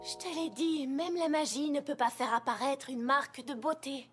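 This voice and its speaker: A woman voicing a kind, sensible young magical pony who explains things gently in a French children's cartoon dub. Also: sits high, normal speed, natural voice. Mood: apologetic. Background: music.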